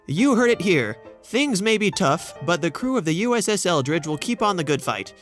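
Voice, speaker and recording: Timid male reporter for radio play, man acting, high-pitched, polite, earnest, hesitant, music faintly behind. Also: very animated, moderate pace, a bit bright. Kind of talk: audio drama acting.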